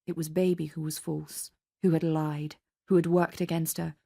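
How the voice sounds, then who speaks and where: A woman's voice: low-pitched, flat delivery, moderate pace, creaky, warm tone, breathy edge, dry recording, studio quality. A woman reading a dramatic scripted story as narrator. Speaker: narrator and reader.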